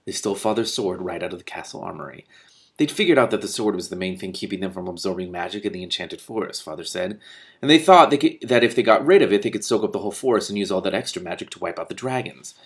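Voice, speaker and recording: A man's voice: low-pitched, lively intonation, fast talker. A man reading a fantasy book aloud as a narrator, adding comments of his own. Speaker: reader and commentator. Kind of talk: expressive book read-aloud with off-script commentary.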